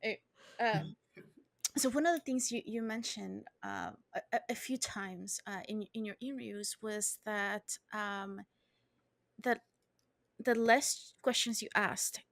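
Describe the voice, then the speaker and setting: A woman hosting a casual podcast interview, speaking in a halting, informal way. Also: mid-range voice, creaky, a bit bright, dry recording. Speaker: podcast host.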